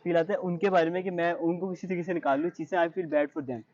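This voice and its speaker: A man's voice: a little high, fairly even intonation, a little fast, darkish tone, dull audio. A young man with a Pakistani accent answering interview questions in an earnest, reflective way, mixing in English phrases. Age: young adult. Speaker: interview guest, high-achieving student.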